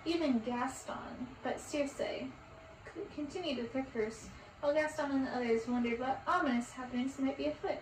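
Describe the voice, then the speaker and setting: A woman's voice: high voice, moderate pace. A woman narrating a story, reading it aloud. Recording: roomy, distant mic, faint noise.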